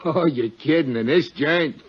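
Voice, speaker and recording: An actor playing a casual, chatty, slightly cheeky clerk in a 1950s radio drama, with breezy, colloquial delivery. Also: male voice, on the high side, unhurried, close to an everyday voice. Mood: offhand. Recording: dull, noisy 1950s radio recording.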